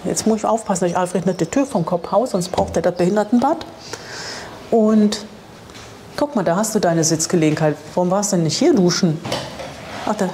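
A woman's voice: on the low side, fairly quick, long pauses, warm tone. A woman gives a chatty, enthusiastic guided tour to camera as a travel vlogger, teasing now and then, on a clip-on microphone. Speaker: camper van travel vlogger and presenter. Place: campsite, outdoors and in small tiled rooms. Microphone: clip-on lapel microphone. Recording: clear ambient noise on location.

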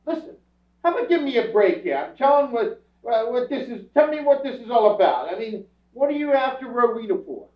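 A man playing a defensive, self-pitying, streetwise bum under questioning in a staged radio crime drama, protesting in halting, ungrammatical speech. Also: very high-pitched, sing-song. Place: theatre stage before an audience. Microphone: far camera. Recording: roomy theatre stage from far off, muffled.

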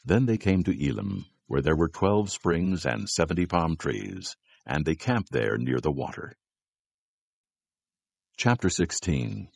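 A man narrating scripture from a script, in a measured storytelling manner. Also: extremely low pitch, very animated, vocal fry, darkish tone, husky, dry recording.

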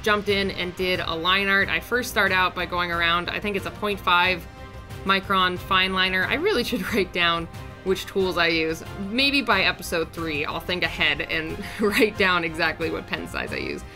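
A young woman talks through a drawing in a casual voice-over, her voice raspy and a little deeper because of a sore throat. Her tone is lowish yet bright and clear, and she rambles along in an upbeat, chatty way. Soft music plays faintly under her voice. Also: lively intonation, normal speed. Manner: chatty, upbeat, rambling with fillers and small laughs. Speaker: art channel host.

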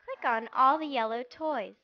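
A woman's voice: sits high, normal speed, lo-fi sound, background noise. A woman reading scripted instructions as the narrator of a learning game for young children.

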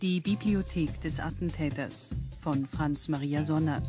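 A woman's voice: sits low, a little slow. A woman reading scripted credits as the announcer of a radio play. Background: music.

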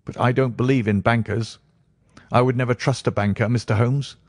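Man playing a reedy, quavering, nervous man, pitched high. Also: steady tone, normal speed, dry recording, studio quality, close to an everyday voice. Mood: nervous, fussy. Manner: nervous, fussy. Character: jittery, frightened, self-important older fat man. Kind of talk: audiobook character acting.

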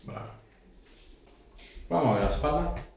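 A man's voice: fairly high pitch, animated, moderate pace, vocal fry, darkish tone, highs cut off. A man, working as a chiropractor and giving short, casual hands-on directions to a patient. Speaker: chiropractor/osteopath. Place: small clinic treatment room, indoors. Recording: echoey room, distant mic, faint noise.

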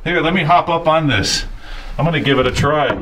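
A man hosting a mine exploration vlog, talking viewers and a companion through abandoned tunnels in a slow, easygoing way. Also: a little high. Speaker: mine explorer and channel host. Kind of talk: walking-tour vlog narration. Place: large abandoned underground mine. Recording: echoey underground tunnel, faint noise.

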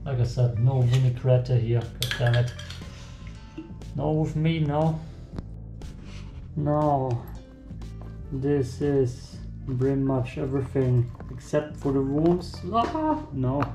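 A young man with a German accent, joking to himself as he cooks in a small home kitchen for his vlog. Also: medium pitch. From German-speaking country. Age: young adult. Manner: joking, self-talk while working. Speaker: cooking vlogger. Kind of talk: casual cooking vlog. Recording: echoey kitchen, faint music.

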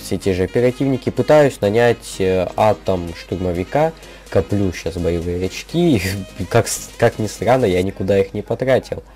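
Low, bright-less mellow young man, slightly sick. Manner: informal gamer slang, halting, thinking aloud. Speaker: gaming video creator. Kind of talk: casual rambling game commentary. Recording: music behind the voice. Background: background music.

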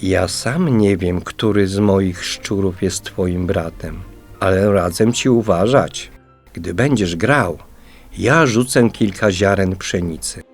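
A man playing a wise old chief water rat in a radio play, deliberate and cautioning. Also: low voice, soft background music, full character voice. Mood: cautious, advising.

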